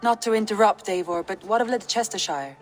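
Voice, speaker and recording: A woman plays a learned young Viking newcomer in a game. She is courteous and scholarly, with a middle-pitched voice. The room has long reverb and soft music. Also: brisk, close to an everyday voice. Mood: polite. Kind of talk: video game cutscene acting. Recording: reverberant hall with music behind.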